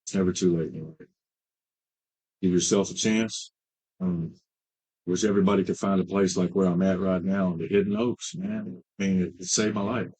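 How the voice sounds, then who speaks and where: Man, fifties, very deep voice, husky, halting, many fillers, recovery client. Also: darkish tone, low-quality recording. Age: 50s. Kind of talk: personal recovery story. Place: treatment center.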